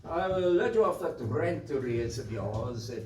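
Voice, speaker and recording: A man's voice: medium pitch, animated, normal speed, darkish tone, natural voice. A man playing a pompous, scheming village squire in a pantomime, blustering and stammering. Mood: bullying. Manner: stammering, hemming and hawing bluster. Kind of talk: British pantomime stage acting. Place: live stage performance. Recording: distant roomy stage recording with background noise.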